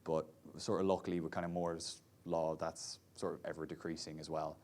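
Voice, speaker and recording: A man's voice: extremely low pitch, flat delivery, normal speed, a little mellow. A man, a VR developer, speaking casually on a panel in a chatty way, full of "sort of" and "like". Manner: chatty, many fillers like "sort of" and "like".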